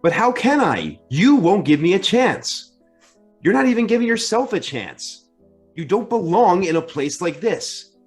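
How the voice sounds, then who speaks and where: A man's voice: sits high, sing-song, a little slow, faint music behind. A man playing an earnest, insistent sweetheart who presses emotionally, in an old-time radio drama. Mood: earnest pleading.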